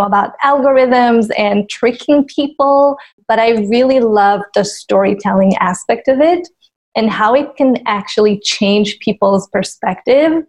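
Israeli-raised woman, candid interview guest. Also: medium pitch. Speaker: guest, marketing executive. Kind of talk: conversational interview answers.

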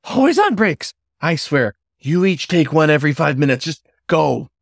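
A man's voice: on the high side, very animated, moderate pace, gravelly, no echo, clean studio sound, voice pushed up. A man voicing a hyper, fussy male hare store manager with a piercing kettle-whistle voice and scolding outbursts.

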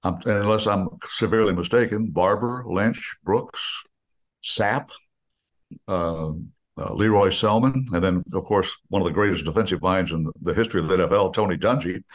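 A man's voice: low-pitched, normal speed. An older American man, a radio play-by-play announcer, chatting about football in a rambling way, full of restarts and asides. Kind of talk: casual sports radio chat.

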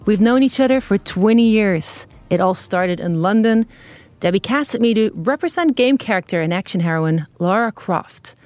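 Woman podcast host, warm and upbeat, dry close studio mic. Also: mid-range voice, expressive, normal speed, no room sound. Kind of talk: podcast interview.

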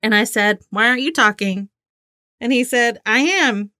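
Woman, forties, podcast co-host, bright forward voice, giggly, informal, dry close sound. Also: a little high, normal speed, dry recording. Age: 40s. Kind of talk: casual chat and diary reading.

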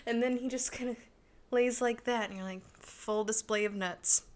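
A woman co-hosting a casual podcast chat, telling animated stories, acting out what she says and laughing a lot. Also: a little high, moderate pace. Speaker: podcast co-host.

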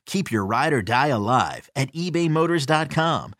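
A man's voice: medium pitch, big pitch swings, moderate pace, a bit bright, dry recording. Dry male ad announcer. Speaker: commercial announcer. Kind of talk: scripted ad read.

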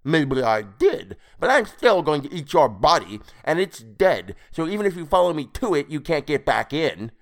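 A man's voice: fairly high pitch, big pitch swings, moderate pace, hardly any pauses, voice pushed up. A man voicing a flabby, sinister toad-faced sea creature in an audiobook, mocking, gloating and theatrical. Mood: spiteful. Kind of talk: dramatised audiobook character voice.

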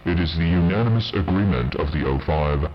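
An actor playing a cold, stern overseer in an audio drama, speaking in a formal, measured, authoritative way. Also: male voice, low voice, animated, lo-fi sound, slight background noise. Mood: cold, official. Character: cold, stern male overseer passing sentence.

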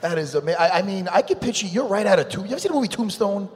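Excitable high-voiced man, New York accent. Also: racing, a little hiss or hum. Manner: excitable, rambling, loud. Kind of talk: excitable casual chat. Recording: long hall reverb.

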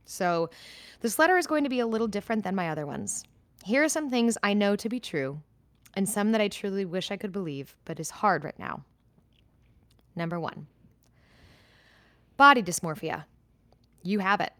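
Woman in her 30s, podcast co-host, candid and halting, mid-pitched, close dry mic. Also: lively intonation, fairly bright tone, dry recording. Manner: candid, talks in starts and restarts. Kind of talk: casual chat and reading a letter aloud.

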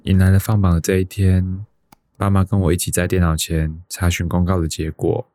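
A man's voice: low voice, completely flat delivery, a little fast, dark tone, close to an everyday voice. An actor playing a wistful man in his early thirties with a Taiwanese accent, narrating reflectively in a radio drama. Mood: subdued. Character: wistful thirty-two-year-old man dreaming of life abroad.

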